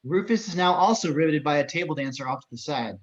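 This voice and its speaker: A man's voice: fairly high pitch, fast talker, highs cut off. A man reading screenplay action descriptions as narrator at an amateur table read.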